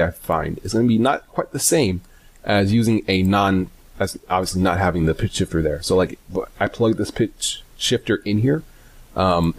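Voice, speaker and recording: A man presenting a casual, unscripted software tutorial in a hesitant, rambling way, often correcting himself. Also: lowish voice, creaky. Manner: hesitant, halting, self-correcting, rambling delivery.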